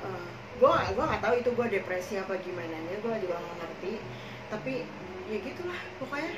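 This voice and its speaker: A young woman chatting casually, hoarse and coughing, rambling with many fillers as she tells her own experiences. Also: medium pitch, lively intonation, brisk. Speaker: podcast co-host telling her own experiences. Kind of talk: casual chat in Jakarta slang. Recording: echoey room, far from the mic, noisy.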